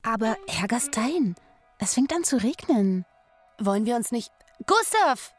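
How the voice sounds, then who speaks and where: An actress in a German radio play voicing a prim, proper young lady with a theatrical, drawn-out delivery. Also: on the high side, big pitch swings, soft background music. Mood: anxious, urging.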